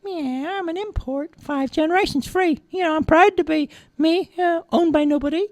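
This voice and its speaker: A woman's voice: extremely high pitch, moderate pace, a little mellow, no echo, pitched above the performer's own voice. A woman voicing a cool, cheeky female cat who talks in hesitant slang peppered with meows. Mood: cool, chatty.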